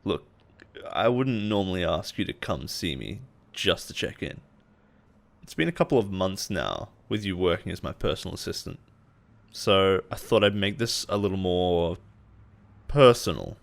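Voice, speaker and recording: An actor playing a possessive male boss in an intimate roleplay audio drama, coaxing and quietly menacing. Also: deep voice, animated, normal speed, gravelly. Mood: coaxing, friendly. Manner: soft-spoken coaxing, chuckles and sighs, quietly menacing.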